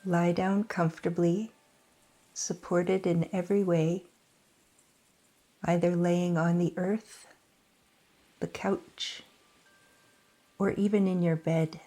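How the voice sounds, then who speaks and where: Calm woman leading yoga nidra, low-pitched and unhurried, gentle pauses. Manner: slow, soft, soothing delivery with long pauses. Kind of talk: guided meditation.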